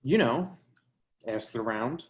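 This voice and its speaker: Casting: man as breathless, knowing applicant for a stage play reading, mid-pitched, conversational. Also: expressive, slow pace, slight background noise. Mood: gossipy, knowing. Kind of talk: readers theatre play reading.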